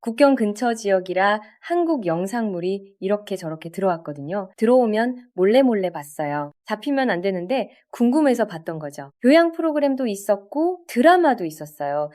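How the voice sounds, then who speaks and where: A woman's voice: mid-range voice, darkish tone. A woman narrating a scripted story in a conversational way, speaking directly to listeners as a storyteller would. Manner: conversational, addresses listeners with rhetorical questions.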